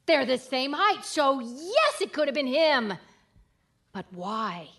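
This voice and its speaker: An actress playing an observant, shrewd woman investigator in a radio mystery, brisk and knowing. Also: high voice, animated, fairly bright tone, full character voice. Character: observant, shrewd female detective's partner with keen memory. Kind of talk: radio mystery drama acting.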